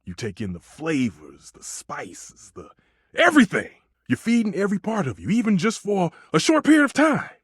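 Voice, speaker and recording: Wildly swooping, hot-tempered chef. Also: male voice, high-pitched, huge pitch swings, normal speed, fairly bright tone, husky, dry recording, clean studio sound, heavily acted. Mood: rhapsodic. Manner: theatrical, volatile, emphatic. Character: temperamental, passionate male chef, a living kitchen stove. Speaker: head chef. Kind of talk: video game character acting.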